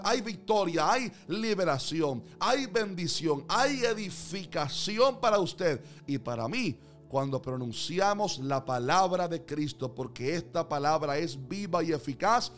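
A man's voice: high-pitched, fairly bright tone. A man preaching a Bible sermon in an earnest, declamatory way, building emphasis through repeated phrases.

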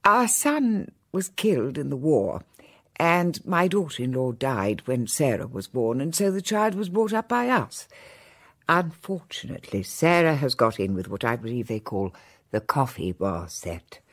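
A woman's voice: a bass voice, sing-song, unhurried, exaggerated voice. A woman playing a genteel elderly grandmother who chats in long, well-bred lists, in a radio play. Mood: worried. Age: older. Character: genteel elderly English grandmother, chatty and worried. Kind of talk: radio drama acting.

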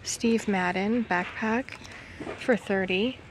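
A woman in her thirties giving casual item-by-item vlog commentary while shopping, filmed on a handheld camera. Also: mid-range voice. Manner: unhurried, item-by-item commentary with pauses. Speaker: vlogger. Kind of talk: casual shop-with-me vlog narration. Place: retail store aisles, some in a parked car. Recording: clear background store noise and ambience.

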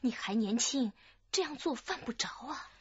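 Husky, probing policewoman. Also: on the high side, animated, moderate pace, no echo, highs cut off. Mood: stern, probing. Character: firm, probing female police detective. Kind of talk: radio drama acting.

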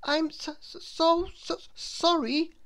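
Woman voicing a timid, high-pitched stammering little fellow. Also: steady tone, slow, a bit bright, full character voice. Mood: nervous, apologetic. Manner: nervous, hesitant stammer. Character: timid, stammering, kindly male cartoon storybook character.

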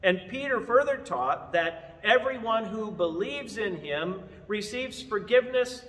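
An older man preaching an Easter sermon from a lectern in a large church, earnest and measured. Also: high-pitched, expressive, fairly bright tone. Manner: measured, earnest preaching with open-hand gestures. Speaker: pastor. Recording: long reverb of a big church hall.

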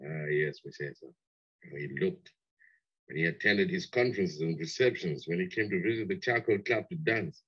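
A deep-voiced, husky old-time chauffeur, polite yet needling and full of nostalgia. It sounds like a dull, lo-fi 1960s radio play. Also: male voice, normal speed. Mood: wistful. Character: nostalgic, ingratiating former chauffeur, loyal visitor. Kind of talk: 1960s radio drama acting.

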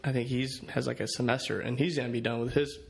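Easygoing young man with gravelly voice. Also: medium pitch, flat delivery, quick pace. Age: 20s. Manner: easygoing, repeats words while telling stories. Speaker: guest, college quarterback. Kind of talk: casual interview storytelling. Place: podcast studio at night, indoors. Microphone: studio mic on boom arm.

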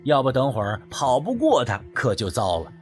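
A man narrating a first-person story as an audiobook, in an expressive, theatrical way. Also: mid-range voice, normal speed. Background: background music.

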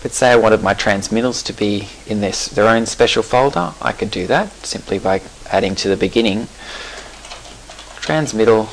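A man with a fairly low voice walks through a program's settings in a screencast tutorial. He explains step by step in an easygoing, unscripted way, with the odd "um" and a slip he corrects himself. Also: normal speed, a little hiss or hum, rough recording. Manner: relaxed explanatory, with fillers and self-corrections. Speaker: software tutorial presenter. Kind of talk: screencast software walkthrough.

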